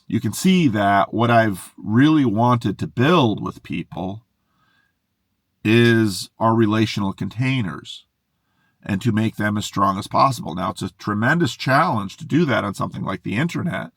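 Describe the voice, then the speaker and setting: Middle-aged male pastor, low gravelly voice, rambling excitable monologue, dry car sound. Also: normal speed, no echo. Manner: musing, self-interrupting, excitable. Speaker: pastor and video host. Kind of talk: unscripted monologue to camera. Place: inside a parked car in a closed garage. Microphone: camera in the car.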